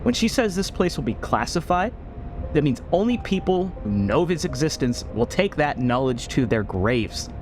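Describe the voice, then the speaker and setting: A man's voice: a little high, very animated, moderate pace, darkish tone, faint music behind. Man acting as a defiant, weary military commander. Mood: defiant, resolute. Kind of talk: audio drama acting.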